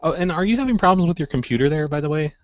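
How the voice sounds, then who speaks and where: A man's voice: on the high side, animated, rapid-fire, dry recording. A man hosting a prank call show, improvising casually in a mischievous, innocent-sounding way, on dull lo-fi audio.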